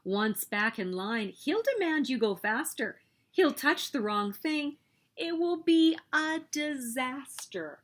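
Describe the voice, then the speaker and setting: A woman reading a children's picture book aloud as story host, with expressive, emphatic delivery. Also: high-pitched, takes it slowly. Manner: expressive, emphatic storytelling with sing-song refrains.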